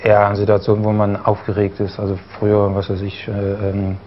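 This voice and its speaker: A man's voice: lowish voice, monotone, fairly quick. A young man from East Germany, a talk show guest who stutters now and then and talks with many fillers and restarts. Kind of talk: studio interview. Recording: dull broadcast audio with faint noise.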